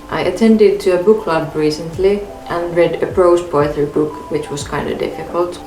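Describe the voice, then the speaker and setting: A young woman whose first language is Finnish reads through a book tag to camera in a calm, measured way from her bedroom. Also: deep voice, roomy sound, rough recording. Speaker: book vlogger. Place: bedroom in front of a bookshelf, indoors.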